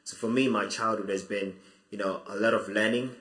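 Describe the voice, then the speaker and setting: A man's voice: on the low side, fairly even intonation, a little fast, fairly bright tone, reverberant. A young man from Zimbabwe, a student, answering interview questions about his life in a thoughtful, hesitant way with many restarts. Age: 20s. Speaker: guest.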